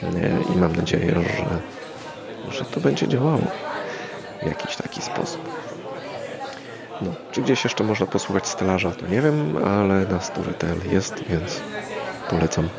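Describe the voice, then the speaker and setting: Low, gravelly man chatting casually. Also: animated, measured pace, pauses often, a loud crowd. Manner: hesitant, rambling, thinking aloud. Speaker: podcast host. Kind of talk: casual solo podcast chat.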